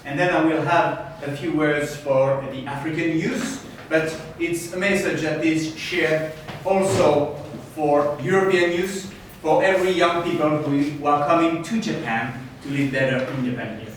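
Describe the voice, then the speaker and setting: Male interpreter, brisk and plain; distant mic, big echo, faint noise. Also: fairly high pitch. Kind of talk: consecutive interpreting into English. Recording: echoey room from far off.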